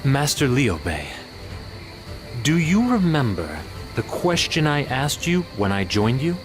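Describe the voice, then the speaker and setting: A man playing a calm, probing strategist in a dramatic video game, speaking in a composed, formal, deliberate way. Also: medium pitch, music in the background. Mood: solemn.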